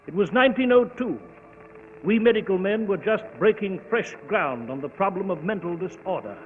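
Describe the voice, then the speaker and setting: An actor playing a genial doctor who narrates an old adventure in 1940s radio style. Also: male voice, high-pitched, expressive, normal speed. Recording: tinny, hissy, echoey old radio broadcast.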